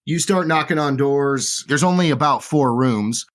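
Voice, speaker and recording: Man calmly narrating as game master. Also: medium pitch, unhurried. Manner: relaxed, filler-heavy narration.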